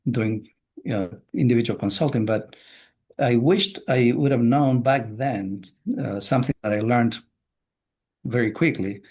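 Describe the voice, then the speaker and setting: A middle-aged man from Chile, a guest on a business podcast, talks through his working life at an even, measured pace. He often pauses on "uh" as he gathers his words, and his voice stays at an ordinary mid pitch. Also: slightly rough. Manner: measured, frequent "uh" fillers. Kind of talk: casual podcast interview.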